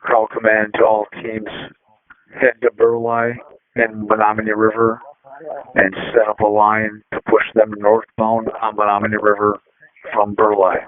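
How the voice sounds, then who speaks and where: A man's voice: on the low side, completely flat delivery, unhurried, phone-quality sound. A male police officer making clipped, procedural transmissions over a thin two-way radio. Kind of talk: terse police radio traffic.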